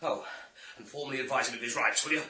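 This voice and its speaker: A man's voice: mid-range voice, quick pace, pushed and bright. A man acting as a firm, commanding police inspector in a radio thriller. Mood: commanding, urgent. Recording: clear background noise and clicks.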